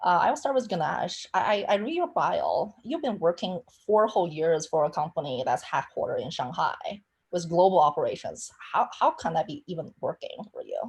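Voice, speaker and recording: A woman in her thirties moderating a webinar panel, friendly, with simple phrasing and brisk introductions. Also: lowish voice, lively intonation, vocal fry, darkish tone, slightly rough. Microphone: video call.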